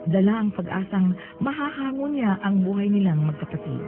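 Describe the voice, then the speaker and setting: A woman with a mid-pitched voice narrates a radio drama from a script. The recording is tinny and narrow, with loud music playing under her. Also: animated, normal speed.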